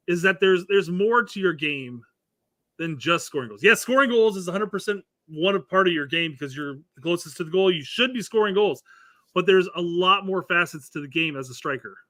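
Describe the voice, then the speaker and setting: A man's voice: high-pitched, fairly bright tone, no echo. A man co-hosting a casual soccer talk show, often stumbling and repeating words as he restarts. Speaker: co-host, former striker.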